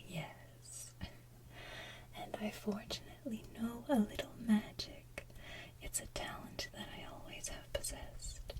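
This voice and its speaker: Woman acting out a scheming animated sea witch, theatrical, falsely kind, hushed whisper, mellow, slightly distant. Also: on the high side, slow pace. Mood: sly, falsely repentant. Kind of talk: soft whispered musical villain performance.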